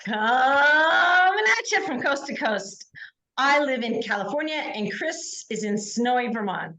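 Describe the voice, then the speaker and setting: A woman's voice: high-pitched, lively intonation, measured pace, pushed and bright, echoey room, poor audio. A woman co-hosting a podcast over a video call, teasing and laughing often in casual chat. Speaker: podcast co-host.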